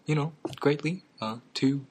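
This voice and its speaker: A young man hosting a comedy talk show, delivering absurd claims in a halting deadpan full of ums and uhs. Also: fairly high pitch.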